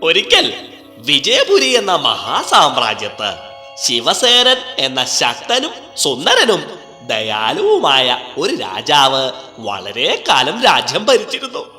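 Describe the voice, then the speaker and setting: A cackling high vetala spirit. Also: male voice, pushed and bright, heavily acted. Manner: cackling, teasing, theatrical storyteller. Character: laughing mischievous male vetala spirit of a banyan tree. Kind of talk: dubbed cartoon storytelling. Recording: echoey reverb with music under.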